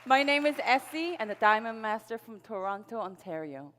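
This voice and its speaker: A woman's voice: a little high, animated, moderate pace, bright, forceful tone, a faint crowd. A middle-aged woman from South Korea, a native Korean speaker, giving an upbeat motivational presentation to an audience, telling her personal story. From Seoul, South Korea. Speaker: sales presenter at a network marketing event. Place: large event hall on stage. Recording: reverberant hall PA sound.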